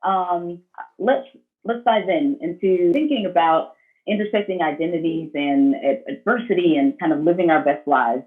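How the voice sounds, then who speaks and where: A woman moderating a panel discussion on a video call, speaking in a measured, affirming way. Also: lowish voice, phone-quality sound. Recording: thin, band-limited video call audio.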